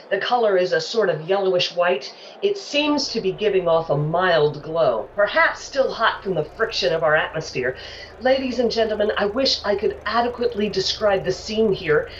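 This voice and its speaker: A woman's voice: mid-range voice, a little hiss or hum, close to an everyday voice. An actress playing a plucky, no-nonsense female field reporter in a 1930s radio play spoof. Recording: dull, distant mic with music behind.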